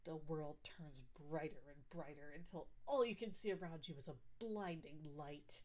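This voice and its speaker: A woman's voice: low voice, moderate pace, a little mellow, lightly acted. A woman playing a possessive, doting girlfriend who game-masters a fantasy tabletop story in an audio roleplay, gushing and insistent. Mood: storytelling. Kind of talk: audio roleplay, ASMR, playful narration.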